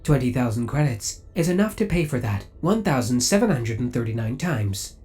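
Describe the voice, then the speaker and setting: A man's voice: mid-range voice, big pitch swings, normal speed, a little mellow, lightly acted. A man playing a possessive, coolly obsessive male spaceship AI in an ASMR audio roleplay, speaking with formal, precise, robotic politeness. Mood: formal, disapproving.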